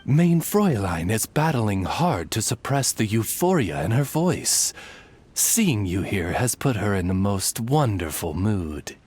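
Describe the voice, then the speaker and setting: A man's voice: fairly low pitch, very animated, measured pace, darkish tone, breathy edge, heavily acted. A man voicing a loyal, dry-witted raven familiar in a video game, with formal, elaborate, butler-like speech. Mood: suave.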